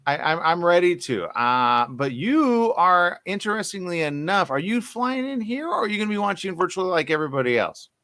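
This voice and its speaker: A man's voice: sits high, sing-song, a little fast, hardly any pauses, pushed and bright, no echo. A man hosting a casual online interview, chatty and enthusiastic, asking rambling questions. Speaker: interview host. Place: online video call.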